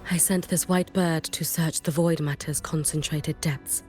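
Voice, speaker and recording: A woman voicing a solemn, compassionate elder in a video game, with grave, deliberate and terse phrasing. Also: low voice, steady tone, darkish tone, faint music behind. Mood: solemn, concerned. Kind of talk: scripted video game dialogue.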